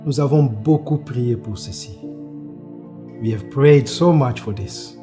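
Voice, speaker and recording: A man's voice: mid-range voice, slow pace. A man telling his story in an earnest, reflective way. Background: music.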